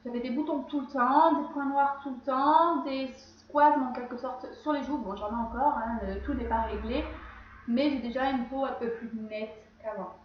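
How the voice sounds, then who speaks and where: A woman's voice: sits high, moderate pace, slight background noise. A woman with a cold chatting casually through her skincare routine, rambling and often correcting herself. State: has a cold. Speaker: beauty vlogger. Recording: very echoey room, slightly dull sound.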